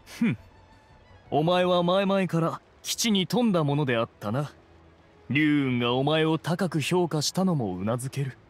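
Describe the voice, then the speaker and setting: Stuck-up ancient immortal, old-timey imperious speech, pretty lively pitch, anime game. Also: male voice, unhurried, faint music behind. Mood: stern. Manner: pompous, archaic, imperious. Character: haughty, stern immortal adeptus in young man's guise.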